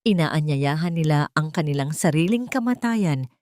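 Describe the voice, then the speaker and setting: A woman's voice: sits low, expressive, moderate pace, a little mellow. A woman narrator voicing a loyal male lawyer, grave and assured, speaking formally and emphatically in a drama story. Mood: bitter.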